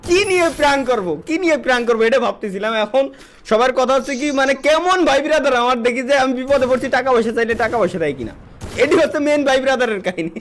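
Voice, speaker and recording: Animated high-pitched young Bangladeshi man. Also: very fast. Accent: Bangladeshi Bengali. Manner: animated, repeats phrases. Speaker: live streamer doing prank calls. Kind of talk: casual livestream chat and prank calling.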